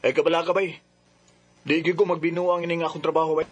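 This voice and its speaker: A man's voice: on the high side, flat delivery, fast talker, dull audio, lightly acted. An actor playing an ashamed, grateful, hard-up family man, humble and apologetic, in a Filipino Cebuano radio drama. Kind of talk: sentimental radio drama acting. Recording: faint noise, radio drama ambience.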